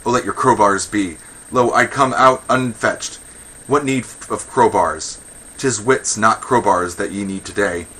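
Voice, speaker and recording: A man's voice: lowish voice, a little slow, pushed and bright, breathy edge, full character voice. A man voicing defiant, fiery Athenian women in a verse comedy, taunting and threatening in a declamatory way. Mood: scornful.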